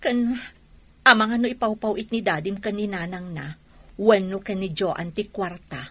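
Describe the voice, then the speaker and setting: A woman playing a guarded, resentful mother in an Ilocano-accented radio drama, pointed and questioning, with a husky voice. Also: medium pitch, steady tone, normal speed, no echo, lightly acted. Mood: explaining. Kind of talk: Filipino radio drama acting.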